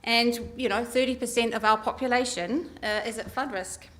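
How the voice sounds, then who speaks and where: A woman's voice: a little high, fairly even intonation, moderate pace, a bit bright. A middle-aged woman with a New Zealand accent giving an earnest election speech at a community meeting, with many ums and uhs. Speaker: council election candidate. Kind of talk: campaign speech. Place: community hall meeting room.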